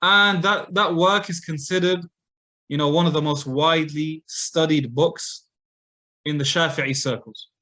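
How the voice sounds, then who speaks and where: A man's voice: on the high side, steady tone, measured pace, sharp tone. A man giving a mosque lecture, telling a scholar's story conversationally with frequent fillers and restarts. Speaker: Islamic lecturer. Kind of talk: casual lecture.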